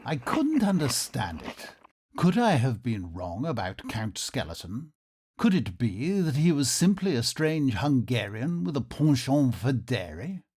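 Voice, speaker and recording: A suspicious Victorian nobleman in a comic radio play. His delivery is formal and melodramatic, with a highly animated pitch. He sounds like an uneasy guest telling his own tale. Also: unhurried, breathy edge. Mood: brooding narration. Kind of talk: old-time radio theatre comedy acting.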